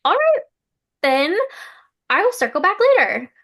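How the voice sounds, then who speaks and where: High-pitched, eager young woman teacher acted by a woman. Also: huge pitch swings, a little slow, a bit bright, heavily acted. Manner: chatty, hesitant, people-pleasing. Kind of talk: sitcom table read, mockumentary talking heads.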